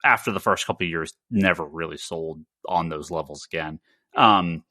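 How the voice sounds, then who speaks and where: A man co-hosting a casual panel discussion, talking haltingly and often stammering over repeated words. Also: deep voice, pushed and bright, no room sound, clean studio sound. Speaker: podcast co-host.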